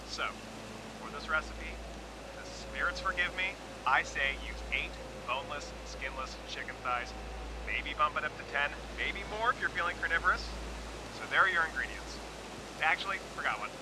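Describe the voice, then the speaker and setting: Over a clearly noisy broadcast comes a man acting as a quirky male cooking host on a video game radio station. His voice sits a little high, and he talks in a chatty, folksy way with playful, mock-solemn flourishes. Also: normal speed, lightly acted.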